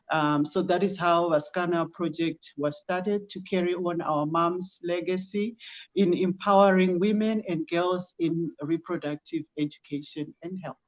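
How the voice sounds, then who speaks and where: A middle-aged woman with a Zimbabwean accent, a guest answering interview questions in a measured way with many ums. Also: on the low side, a little mellow, dull audio. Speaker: guest, project founder.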